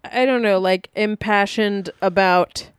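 A woman co-hosting a casual podcast chat, lively and quick to laugh and chime in. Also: mid-range voice, clean tone, no echo, clean studio sound. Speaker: podcast co-host.

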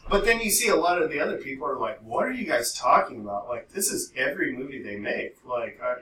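A man co-hosting a podcast in casual, rambling chat, telling things in an animated way and swearing freely. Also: mid-range voice, quick pace. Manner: animated storytelling, frequent swearing. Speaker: podcast co-host. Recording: roomy echo, distant mic, faint noise.

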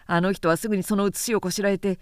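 Woman voicing a breezy, fast-chattering young samurai gossip. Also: mid-range voice, steady tone, clean tone, dry recording, studio quality, natural voice. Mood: breezy, gossipy. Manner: breezy, blunt, rapid chatter.

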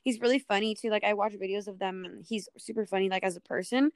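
A woman's voice: medium pitch, steady tone, quick pace, no echo. A young woman co-hosting a podcast in casual chat, talking in a hesitant, rambling way peppered with "like". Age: young adult. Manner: hesitant, rambling, heavy use of "like". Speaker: podcast co-host. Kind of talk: casual panel chat about TV.

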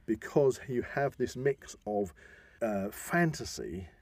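A man hosting an informal discussion, explaining with halting enthusiasm and emphatic stresses. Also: medium pitch, animated, a little mellow, no room sound. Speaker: podcast host.

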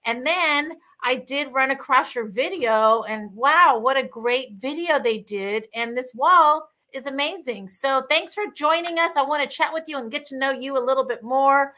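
A woman's voice: high voice, moderate pace, few pauses, sharp tone. A woman hosting a casual interview, enthusiastic and chatty, with many fillers and restarts. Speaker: host.

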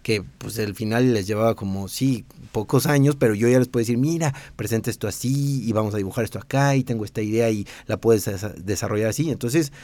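A man's voice: lowish voice, quick pace, hardly any pauses, dry recording. Mexican man telling his story, dry sound. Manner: relaxed, rambling with many fillers and restarts. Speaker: designer and teacher. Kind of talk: casual interview.

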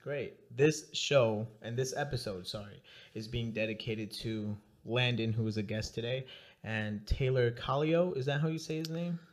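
A young man hosts a casual podcast interview. He is mid-pitched and easygoing, laughs a lot, and rambles through his questions with frequent restarts. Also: moderate pace. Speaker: podcast host.

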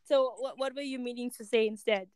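A woman hosting a podcast in casual, excitable chat, with informal, slangy phrasing. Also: a little high, brisk, smooth, no echo. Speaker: podcast host.

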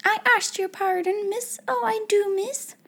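A woman's voice: very high-pitched, steady tone, takes it slowly, creaky, a little mellow, pitched above the performer's own voice, exaggerated voice. A woman voicing a timid, frightened young servant girl in a storybook, stammering and deferential with uneducated speech. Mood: panicked, pleading.

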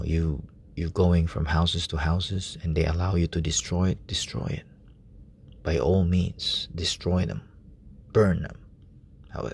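A man preaching softly at night in a relative's room, speaking in a hushed, halting way with many fillers. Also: low voice, gravelly, breathy edge. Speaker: lay preacher. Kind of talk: informal late-night sermon.

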